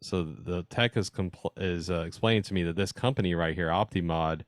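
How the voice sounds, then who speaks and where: Deep-voiced man giving an informal technical talk. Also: normal speed, dry recording, clean studio sound. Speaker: presenter at an amateur radio club meeting. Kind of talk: informal slideshow presentation.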